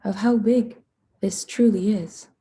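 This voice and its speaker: A woman guides a meditation in a very breathy, hushed voice with a deeply mellow, dark tone. She speaks slowly and soothingly, leaving long pauses between short phrases. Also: fairly even intonation. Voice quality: soft, breathy.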